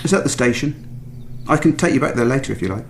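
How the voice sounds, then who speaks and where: An actor playing a police detective in a naturalistic radio thriller, casually chatty but probing and quietly insinuating. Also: male voice, medium pitch, expressive, quick pace, gravelly, slight background noise. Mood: probing, matter-of-fact. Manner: casual but pointed, questioning.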